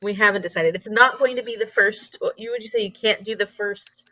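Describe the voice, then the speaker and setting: Woman livestream cohost, bright giggly chatter, very dry close sound, bit lo-fi. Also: mid-range voice, fairly quick, dry recording. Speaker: livestream co-host. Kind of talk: casual group livestream chat.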